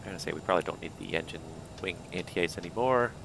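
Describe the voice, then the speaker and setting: A man flying a simulated airliner, thinking aloud and calling out checklists, with a voice that is going hoarse. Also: low voice, fast talker, noisy background. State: losing his voice. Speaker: flight simulator pilot. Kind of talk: casual cockpit commentary.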